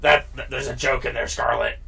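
A man's voice: mid-range voice, steady tone, fairly quick, creaky, sharp tone, recorded from a distance. A brash man giving casual, joking, sweary commentary over a video game. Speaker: let's play commentator. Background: game audio and music.

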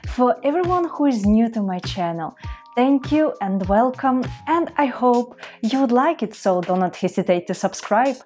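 A woman's voice: a little high, animated, normal speed, darkish tone, faint music behind. A young woman from Russia with a Russian accent talks casually to the camera from a desk in a small room at home, earnest and chatty, with careful English and small restarts. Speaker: vlogger.

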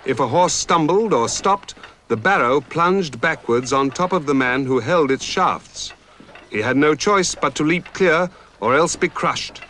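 Lively documentary narrator, man. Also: fairly high pitch, slight background noise. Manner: measured, dramatic storytelling delivery.

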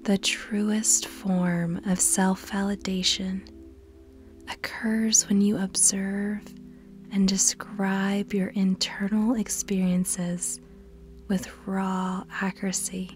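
Very slow, soft-whispering woman guiding meditation. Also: medium pitch, level tone. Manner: measured, instructional, with long pauses. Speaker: meditation guide. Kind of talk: scripted guided meditation narration. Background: background music.

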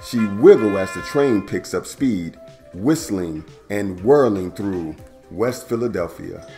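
A man in his thirties hosting a storytime, reading a children's picture book aloud indoors with a playful, animated delivery. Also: on the low side, takes it slowly, soft background music. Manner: animated, playful, stretches words for young listeners. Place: indoor room at home.

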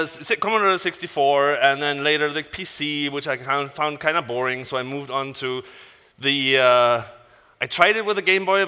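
A man in his thirties with a German accent, a native German speaker, giving an informal, joking tech talk on stage as a conference speaker. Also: a little high. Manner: animated, joking, casual swearing. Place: large conference hall stage. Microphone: headset. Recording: large hall reverb.

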